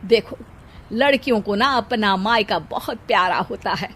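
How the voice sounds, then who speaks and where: A woman's voice: mid-range voice, measured pace, a bit bright, husky. A woman playing a sly, gossipy older neighbour who stirs trouble with a familiar, knowing manner in a cartoon moral story. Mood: sly, egging on. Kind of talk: dramatized Hindi moral story dialogue.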